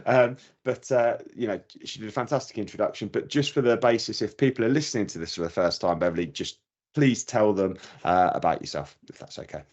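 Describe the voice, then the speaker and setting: Low-voiced hesitant male podcast host. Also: fairly quick, a little mellow, lo-fi sound. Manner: hesitant, many fillers and restarts, friendly. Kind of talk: podcast interview.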